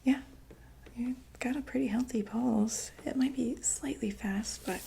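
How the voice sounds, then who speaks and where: A woman whispering softly in a breathy ASMR medical roleplay, gently and tentatively talking through each step as a doctor. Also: fairly high pitch, a little slow, a little hiss or hum. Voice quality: breathy, mostly unvoiced whisper.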